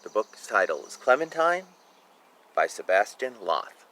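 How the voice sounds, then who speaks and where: Male storybook reader, bright voice, lively swings in pitch, informal asides, recorded outdoors with faint background noise. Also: measured pace. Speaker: reader of a children's picture book. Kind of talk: read-aloud storytelling with casual asides about the pictures.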